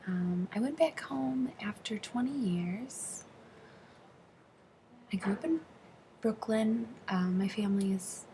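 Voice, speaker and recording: A woman working as an interpreter, voicing an interview answer in the first person, phrase by phrase with halting delivery. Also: medium pitch, dark tone, slight background noise. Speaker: sign language interpreter voicing a deaf interviewee.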